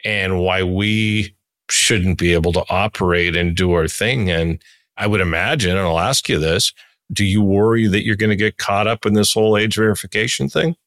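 A man's voice: sits low, a bit bright, no room sound. A man talking casually as he interviews a guest as host.

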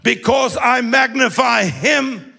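A man preaching a sermon in a sing-song manner that rises into shouts. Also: very high voice, takes it slowly, creaky, breathy edge.

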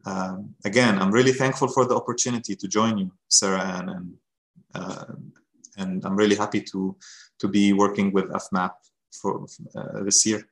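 A man in his thirties from Gaza speaks in a somewhat low voice. He introduces himself on a webinar over a video call. He is earnest and halting, with frequent pauses. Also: fairly even intonation, creaky. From Gaza, Palestine. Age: 30s. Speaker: guest fellow.

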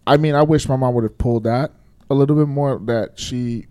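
A man's voice: mid-range voice, steady tone, brisk, mellow, smooth. A man whose first language is Spanish, chatting casually and laid-back with friends, swearing freely. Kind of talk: casual group chat.

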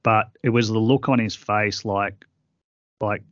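Deep, flat-voiced middle-aged man. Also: no echo, highs cut off. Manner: earnest, halting with many fillers. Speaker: author and interview guest. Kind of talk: reflective interview conversation.

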